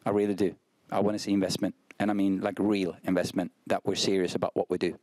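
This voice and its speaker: A man in his forties with a Swedish accent, answering questions as head coach at a press conference, measured and restarting often. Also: low voice, level tone, a little mellow, no echo. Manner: measured, frequent restarts and ums. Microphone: desk mic on stand.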